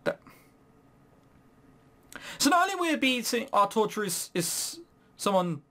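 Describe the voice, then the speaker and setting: Animated man doing sarcastic game commentary. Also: high voice, unhurried. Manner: sarcastic, swearing. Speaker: let's play host. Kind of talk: casual gaming commentary, reading game text aloud.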